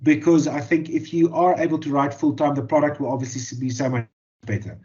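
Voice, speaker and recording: A man's voice: a little high, steady tone, a little fast, darkish tone. A man with a South African accent, a native Afrikaans speaker, answering interview questions as a journalist and author, thoughtful and full of fillers and repeated words. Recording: dull lo-fi audio.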